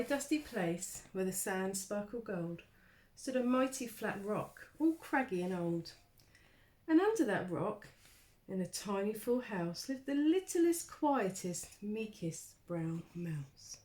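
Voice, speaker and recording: A woman reading a children's storybook aloud like a primary school teacher, expressively, with dramatic pauses and emphasis. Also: mid-range voice, animated, a little mellow.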